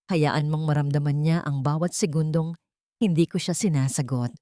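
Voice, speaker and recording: Audio drama role: resolute rich wife played by a woman, icy, quiet, measured, low register. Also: no echo, clean studio sound.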